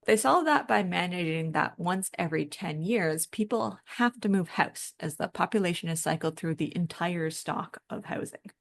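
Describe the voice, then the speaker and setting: Lower-voiced, gravelly woman explaining things informally. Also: lively intonation, moderate pace, hardly any pauses. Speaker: podcast co-host. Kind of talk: conversational explanation and discussion.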